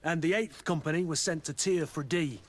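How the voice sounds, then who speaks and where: A man voicing a gruff, loyal mercenary captain in a video game, speaking bluntly and dryly. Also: a little high, moderate pace, lightly acted. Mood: wry, businesslike.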